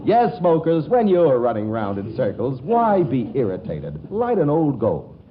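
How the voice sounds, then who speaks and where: A man with an American accent delivering a 1940s radio commercial pitch as the announcer. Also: wildly swooping pitch, unhurried, a little hiss or hum. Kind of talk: 1940s cigarette advertising pitch. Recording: muffled 1940s radio broadcast, faint music.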